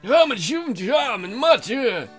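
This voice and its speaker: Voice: mid-range voice, sing-song, a little slow, slightly rough, faint music behind, pitched above the performer's own voice. A game player voicing a doddering, befuddled elderly male bank guard who mumbles in garbled nonsense. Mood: befuddled muttering.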